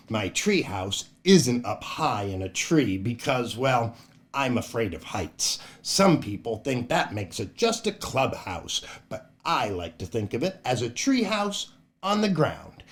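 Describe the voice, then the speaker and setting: An older man, a children's entertainer hosting a storytime show in a playful, exaggerated manner. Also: medium pitch. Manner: playful, exaggerated, often chuckling at own jokes. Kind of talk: animated children's show hosting and read-aloud.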